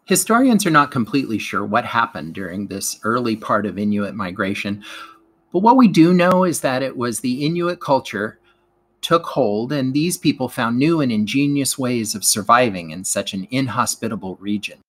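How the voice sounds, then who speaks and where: A man's voice: medium pitch, sing-song, normal speed, fairly bright tone. Male narrator, mid-range voice, teacher reading scripted classroom material aloud.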